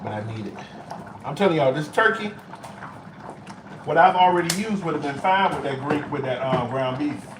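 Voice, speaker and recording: A middle-aged man chatting casually as he cooks in his home kitchen, talking to viewers and his pets while he works. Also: medium pitch. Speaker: home cook vlogger. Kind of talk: casual cooking vlog chat. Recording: echoey room, distant mic, background noise.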